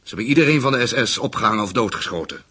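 Calculating male conspirator, low husky voice, commanding, measured delivery, menacing radio drama villain. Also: animated, bright, forceful tone, heavily acted. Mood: cold menace.

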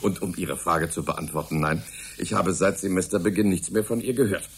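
Genial, creaky-voiced older scientist. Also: male voice, low-pitched, steady tone, brisk, a little hiss or hum. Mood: amused, fatherly. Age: middle-aged. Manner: erudite, savoring his words, chuckling. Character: genial, enthusiastic older titled scientist, Michael's fatherly senior. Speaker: actor in a radio drama. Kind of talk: German radio drama dialogue.

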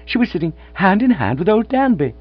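A man voicing an excitable young man who gushes breathlessly, in a storybook reading. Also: high voice, fairly quick, breathy edge, no echo, pitched above the performer's own voice, heavily acted. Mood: giddy. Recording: dull lo-fi with mains hum.

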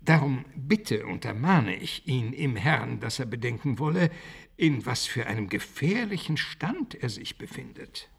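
A man's voice: medium pitch, very animated, a little slow, vocal fry, slightly rough, heavily acted. An older actor playing a learned, fatherly, admonishing pastor in a literary radio drama, with formal, preachy phrasing.

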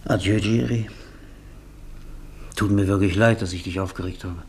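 Weary low-voiced novelist, noisy recording. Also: male voice, steady tone, normal speed, a little mellow. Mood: weary, resigned. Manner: world-weary, pleading, self-deprecating. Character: weary, debt-ridden middle-aged male novelist, exasperated and resigned. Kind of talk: German radio drama acting. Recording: noisy vintage radio drama recording.

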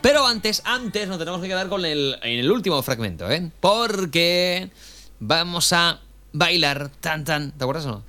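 A man's voice: a little high, expressive, measured pace, bright, forceful tone. A man from Spain with a Spanish accent hosting a radio show, moving between casual chat and narration, sometimes searching for words. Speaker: radio host. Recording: music behind the voice. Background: music bed.

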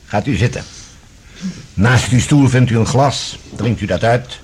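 An actor playing a composed, authoritative security general in a radio drama, speaking formally and courteously but firmly, with a husky voice. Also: male voice, medium pitch, a little slow, a little hiss or hum, lightly acted. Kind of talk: Dutch radio drama acting.

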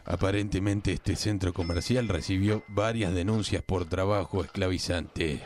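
A man's voice: deep voice, steady tone, normal speed, darkish tone, natural voice. A man acting an earnest, honest male police partner in an amateur radio play.

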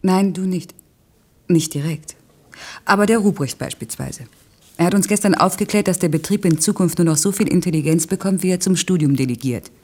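An actress playing a headstrong, defensive working-class woman in a naturalistic radio play, curt and dryly self-mocking, with a husky voice. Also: fairly low pitch, a little fast, warm tone. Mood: stubborn, earnest.

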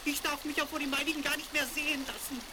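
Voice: level tone, fast, close to an everyday voice. An actor voicing a screeching, ungrateful little old dwarf in a fairy-tale radio play for children. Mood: indignant scolding. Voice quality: screeching, shrill. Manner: scolding, indignant, quarrelsome. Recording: crackly 1970s vinyl record.

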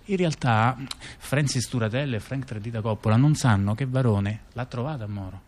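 Halting, conversational male storyteller. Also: medium pitch. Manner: halting, with repeated words and frequent rhetorical tag questions. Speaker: storyteller in a two-person narrative theatre dialogue. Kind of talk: conversational narrative monologue.

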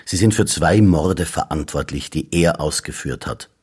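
A man with a husky voice, acting a world-weary, sardonic police inspector in a radio drama. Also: very deep voice, moderate pace, darkish tone, heavily acted. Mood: probing, accusing. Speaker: actor in a radio crime drama.

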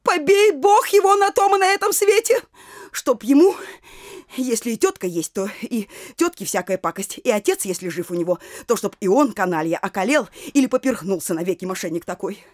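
Casting: a woman as a high-voiced working townswoman in stage comedy, loud, weepy complainer with a mouth full of curses. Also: expressive, fairly quick, close to an everyday voice. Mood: aggrieved, cursing. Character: wailing, cursing locksmith's wife, a townswoman petitioner. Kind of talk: theatrical audio drama comedy acting.

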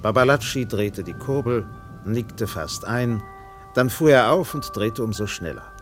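A man narrating a radio drama in a measured, literary storytelling style. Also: mid-range voice, expressive, soft background music.

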